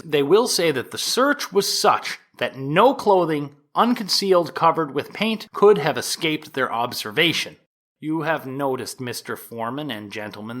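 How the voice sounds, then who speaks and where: A man playing a formal, deliberate 1890s prosecutor addressing a jury in a courtroom drama, in measured oratory with emphatic stresses. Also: mid-range voice, fairly bright tone, lightly acted. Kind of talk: dramatized courtroom opening statement.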